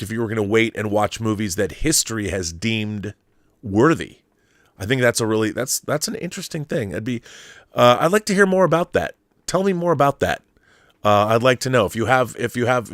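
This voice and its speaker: A middle-aged man with a fairly low voice chats as the host of a livestream. He speaks in an emphatic, conversational way, doubling back and restarting phrases as he works out his thoughts. The sound is dry, with little room in it. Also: fairly bright tone, no room sound. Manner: halting, repeats words and rephrases as he thinks aloud. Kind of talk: casual live chat answering viewer messages.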